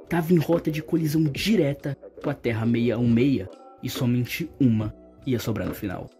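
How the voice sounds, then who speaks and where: A man's voice: mid-range voice, warm tone. A man with a Brazilian Portuguese accent delivering scripted opinion commentary to viewers as a video host. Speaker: YouTube video essay host. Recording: background music under the voice.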